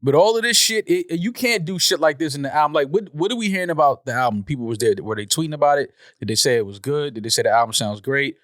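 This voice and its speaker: A man's voice: a little high, lively intonation, fast, hardly any pauses, a bit bright, no echo, clean studio sound. An American man around forty, hosting a casual podcast discussion. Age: 30s or 40s. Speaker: podcast host.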